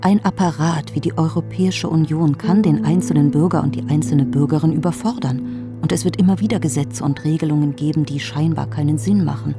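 Grave low-voiced woman ghost of postwar years. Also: steady tone, a little fast. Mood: grave, reproachful. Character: solemn ghost of 1948, lamenting forgotten ideals. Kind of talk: literary radio play monologue. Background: music.

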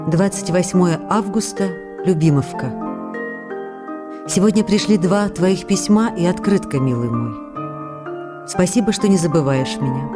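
A woman's voice: on the low side, measured pace, close to an everyday voice. An actress playing a loving, hurt and anxious wife, reading her letters in an intimate, pleading way for radio drama. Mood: hurt, wistful. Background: faint music.

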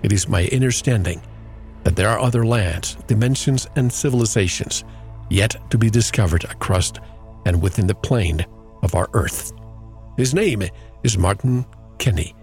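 A lower-pitched man hosts a radio interview show, speaking in a measured, earnest manner. He moves from a scripted introduction into inviting questions for his guest. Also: a little mellow, soft background music.

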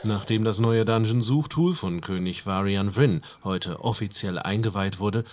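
A man acts as an earnest news announcer in a parody radio play. His voice is on the low side, and he reads the fantasy bulletin formally, like official news. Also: moderate pace, a little mellow, close to an everyday voice.

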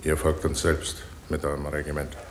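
An actor playing a curt, stern military commander in a 1950s German radio drama. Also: male voice, rumbling low, monotone, normal speed. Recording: noisy old 1950s radio recording.

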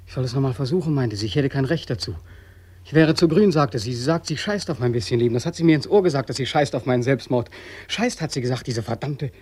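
A man's voice: medium pitch, quick pace, a little mellow, breathy edge, lightly acted. A man acting in a radio play as a freezing, limping war returnee, weary, bitter and hopelessly sad in tone. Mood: dazed, weary. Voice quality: sad, desolate voice. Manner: weary, lamenting, emphatic.

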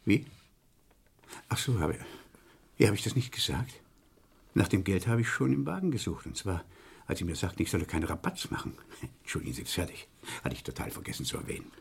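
Halting, husky, meek male clerk. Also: sits low, big pitch swings, full character voice. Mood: apologetic, rambling. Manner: hesitant, halting, politely apologetic. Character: meek, nervous male clerk giving a police statement. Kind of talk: German radio crime play acting.

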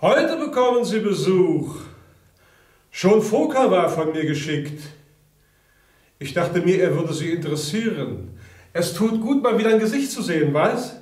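A man's voice: high voice, animated, a little slow, a little mellow. An actor playing a smug, insinuating male Tsarist police chief in a German radio play, condescending and theatrical, in an echoey room. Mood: affable, smug.

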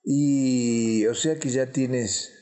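A man hosting a conversational interview, phrasing his questions in an unhurried, thoughtful way. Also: medium pitch, mellow. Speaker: radio interviewer and host.

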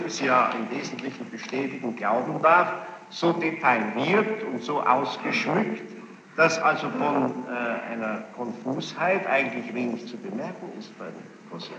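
A man questioning a witness as a presiding judge, formal and probing, choosing his words deliberately with pointed challenges. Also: mid-range voice. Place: large reverberant courtroom. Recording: muffled, noisy archival courtroom tape, echoing hall.

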